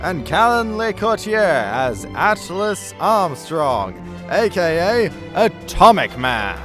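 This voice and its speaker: Casting: male storyteller for scripted audio drama, slow and expressive, over music. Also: sits high. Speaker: narrator. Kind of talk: scripted radio play narration. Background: music behind the voice.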